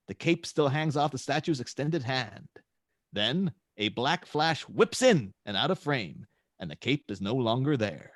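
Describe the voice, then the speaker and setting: Guy narrating action scenes from a film script, mid pitch, close dry recording. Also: no room sound. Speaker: narrator reading stage directions. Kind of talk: dramatic script narration at a table read.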